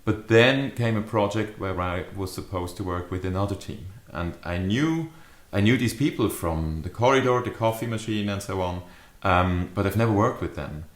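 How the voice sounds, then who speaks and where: A man in his fifties with a lowish voice talks reflectively to camera, like an adviser explaining a practice. His phrasing is measured and deliberate. The sound carries clear echo from a furnished room indoors. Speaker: leadership adviser. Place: small furnished study or living room, indoors. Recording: echoey living room.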